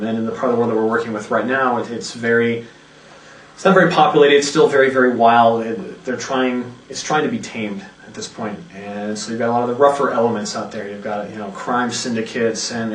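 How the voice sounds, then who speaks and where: A man chatting behind the scenes about a fantasy setting, halting and repeating words as he thinks. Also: mid-range voice, slight background noise. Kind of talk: behind-the-scenes casual chat. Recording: echoey room, distant mic.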